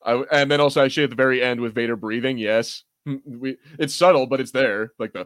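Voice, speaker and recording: A man's voice: medium pitch, fast talker, fairly bright tone, no room sound, clean studio sound. A man hosting a podcast in casual fan chat, talking in a rambling, enthusiastic way. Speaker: podcast host.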